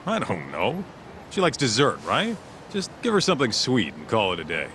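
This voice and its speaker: A man's voice: medium pitch, wildly swooping pitch, moderate pace, a bit bright, slight background noise. An actor playing a brash, laid-back tough-guy detective in a video game, talking bluntly and teasingly. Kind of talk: video game cutscene dialogue.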